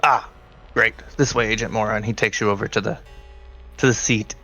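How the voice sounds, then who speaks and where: A man's voice: on the low side, steady tone, a little fast, sharp tone, faint music behind. A man speaking casually as game master, improvising narration for a tabletop role-playing game. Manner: casual, conversational.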